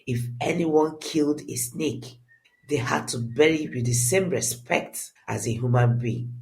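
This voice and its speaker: A narrator telling a scripted first-person story in a dramatic, deliberate and expressive way. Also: medium pitch.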